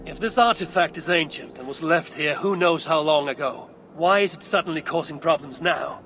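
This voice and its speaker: A low blunt viking warrior woman, scandinavian accent, wary and proud, game cutscene, music clearly behind. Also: normal speed, fairly bright tone. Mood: skeptical. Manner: blunt, stern, terse. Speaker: voice actor in a video game cutscene. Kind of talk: dramatic game cutscene acting.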